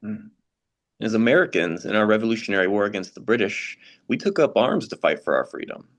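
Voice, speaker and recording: A man performs a concerned, sympathetic American in a scripted readers theater dialogue. His voice is somewhat low. He talks in a measured, deliberate way. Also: sing-song. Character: concerned, sympathetic American churchman asking questions.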